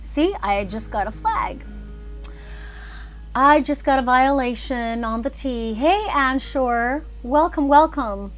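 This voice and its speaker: Unhurried woman chatting on a livestream. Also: high-pitched, soft background music. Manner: chatty, rambling, thinking aloud. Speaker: animator and filmmaker streaming live. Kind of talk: casual livestream chat.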